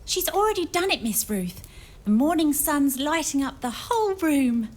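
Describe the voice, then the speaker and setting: Woman acting excitable female character, high voice, lively swoops, chirpy, audio drama. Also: moderate pace. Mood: cheerful.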